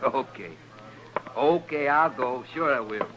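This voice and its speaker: An actor playing a belligerent American cattle trail boss in a 1950s radio western, pushy and taunting. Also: male voice, mid-range voice, measured pace, fairly bright tone, natural voice, rough recording. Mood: mocking. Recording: old radio recording, saloon crowd behind.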